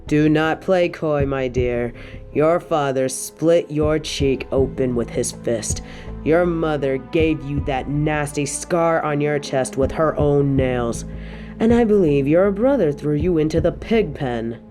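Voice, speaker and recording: Woman playing a slow, low-burning, mellow evil queen. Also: soft background music. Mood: gloating, cruel. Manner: taunting, imperious, formal wording, lingering delivery. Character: evil possessive dictator queen, cold, cruel, seductive. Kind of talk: scripted audio roleplay monologue.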